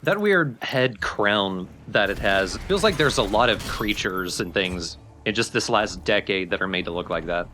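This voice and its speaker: A man's voice: lowish voice, animated, normal speed, few pauses, pushed and bright, slight background noise. A man casually bantering as a co-commentator on a video game let's play. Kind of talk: casual banter over gameplay. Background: game music.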